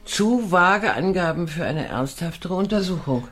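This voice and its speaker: An actress playing an archaeologist's wife in a German radio drama, explaining in a deliberate, thoughtful way. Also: female voice, on the low side, lively intonation, close to an everyday voice. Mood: absorbed, recollecting.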